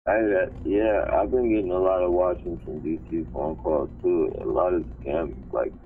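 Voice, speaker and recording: A man chatting casually as a call-in guest, low-key, hesitant and slangy. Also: normal speed. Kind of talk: casual chat. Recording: dull noisy call audio.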